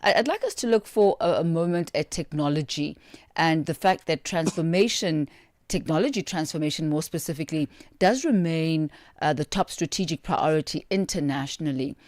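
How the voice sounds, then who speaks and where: A woman radio host with a notably low voice puts long, formal questions to her guest. Her voice is dry and close to the mic, without room echo. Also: moderate pace, a little mellow. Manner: formal, with filler "uh" and "um". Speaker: radio business show host.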